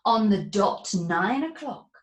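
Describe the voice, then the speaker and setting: A woman reading her own rhyming children's book aloud in a sing-song, expressive way, with dramatic whispers and gasps. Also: medium pitch, slow pace, roomy sound.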